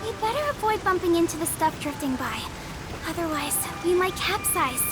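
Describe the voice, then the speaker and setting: A woman's voice: very high voice, animated, moderate pace, loud music behind the voice. A woman playing a polite, curious, naive young woman in a video game. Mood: concerned. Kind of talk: English video game voice acting.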